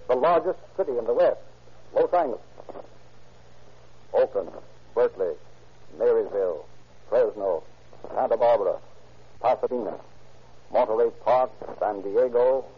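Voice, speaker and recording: A man narrating a 1930s radio drama and reading its sponsor ads in a measured, dramatic manner. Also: medium pitch, slightly rough. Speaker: narrator and announcer. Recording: muffled 1930s radio recording.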